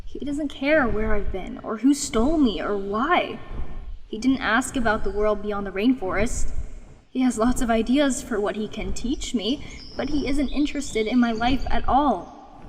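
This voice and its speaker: A young dragon girl, acted by a woman with a highish voice, proud and hurt. She speaks in a dry, guarded, sarcastic way, as in an animated fantasy drama. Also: measured pace, slight background noise, natural voice. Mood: brooding. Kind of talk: dubbed fantasy drama acting.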